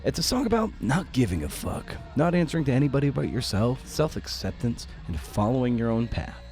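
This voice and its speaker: A man's voice: medium pitch, sing-song, a little fast, darkish tone, faint music behind. A middle-aged man hosting a podcast in a conversational style, with a wry, self-deprecating manner. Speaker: podcast host and interviewer. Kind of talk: conversational podcast intro and interview.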